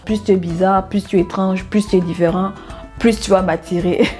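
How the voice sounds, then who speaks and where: A woman's voice: mid-range voice, steady tone, brisk, warm tone, faint music behind. A woman in her thirties from Gabon, introducing herself in a casual faith vlog, cheerful, laughing often and saying amen. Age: 30s.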